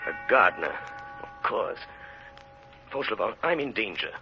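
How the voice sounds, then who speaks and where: An actor playing a grave, intense storyteller and hunted man in vintage radio drama, measured and theatrical. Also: on the low side, very animated, gravelly, faint music behind. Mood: urgent. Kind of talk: 1930s-40s dramatic radio narration and acting. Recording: muffled vintage radio recording.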